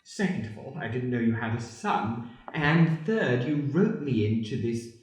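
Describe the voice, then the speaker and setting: Scornful jilted lover, played by a man: medium pitch, emphatic, demanding, strongly echoing radio drama. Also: expressive, measured pace, natural voice. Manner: emphatic, list-like accusations. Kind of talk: improvised radio play acting. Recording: very echoey roomy recording.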